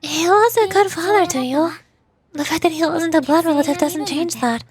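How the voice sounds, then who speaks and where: A woman's voice: extremely high pitch, normal speed. A woman streamer voicing game dialogue live and adding casual commentary. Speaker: streamer and amateur voice actor. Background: faint game music.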